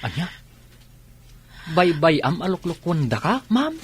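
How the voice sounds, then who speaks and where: A man playing a loyal, concerned employee in an Ilocano audio drama, with a husky voice. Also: on the high side, sing-song, unhurried, heavily acted. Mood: urgent, concerned. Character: loyal, concerned male employee reporting to his mistress.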